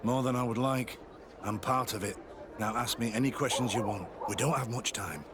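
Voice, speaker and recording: A man's voice: mid-range voice, normal speed, noisy background, close to an everyday voice. A man playing a guilt-ridden, secretive army sergeant turned informant in a video game, guarded, earnest and confiding. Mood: wary. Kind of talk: video game cutscene acting.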